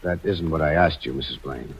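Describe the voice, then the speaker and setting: Probing, formal detective for radio suspense; low-pitched and husky, skeptical. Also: male voice, a little fast, heavily acted. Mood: insistent, skeptical. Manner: formal, pressing questioning. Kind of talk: old-time radio suspense acting. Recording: dull vintage radio recording.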